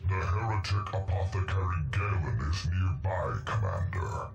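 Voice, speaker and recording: An actor playing a solemn, righteous space marine adviser in a video game, speaking gravely. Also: male voice, sits low, animated, muffled recording. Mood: grave warning. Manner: grave, deliberate. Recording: echoey, distant, music behind.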